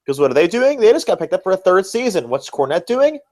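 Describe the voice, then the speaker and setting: A man's voice: high voice, very animated, quick pace, a little mellow. A man hosting a podcast, chatting casually with blunt, dismissive opinions. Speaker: podcast host.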